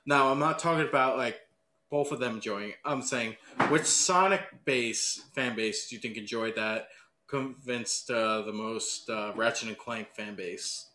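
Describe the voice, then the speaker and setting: A man's voice: mid-range voice, measured pace, pushed and bright. A man moderating a casual debate as co-host, asking questions in a conversational way. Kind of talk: casual chat. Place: wood-panelled room, shared table. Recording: noisy room microphone.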